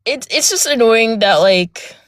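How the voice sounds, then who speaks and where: A young woman with a soft voice telling a personal story on a live panel, hesitant with many fillers and restarts, speaking from outdoors. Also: fairly high pitch, animated, a little slow, no room sound, clean studio sound. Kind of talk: casual personal account. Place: outdoors near a road.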